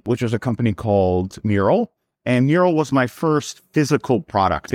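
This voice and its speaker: A middle-aged man tells his founder story in a steady, mid-pitched voice, picking his words as he goes and repeating a few of them. The sound is dry, with no room echo around him. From born in Serbia, raised in New York. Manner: fluent storytelling with frequent word repeats. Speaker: company founder, guest. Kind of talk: podcast interview answers.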